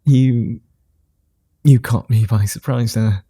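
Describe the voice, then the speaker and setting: A man voicing a gentlemanly male vampire in an ASMR roleplay, speaking in formal, old-fashioned phrases and chuckling softly. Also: medium pitch, fairly even intonation, slow pace, slightly rough, dry recording, clean studio sound. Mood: amused.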